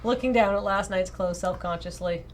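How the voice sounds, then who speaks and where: A woman reading a visual novel aloud on a livestream in an expressive, casual way, often breaking off into playful asides. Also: mid-range voice, moderate pace.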